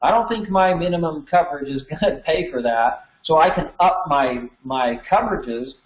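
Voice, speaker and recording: A man's voice: on the high side, lively intonation, moderate pace. An American man in his thirties giving an informal, conversational lesson, explaining things step by step. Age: 30s. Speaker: instructor. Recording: roomy echo, slightly dull sound.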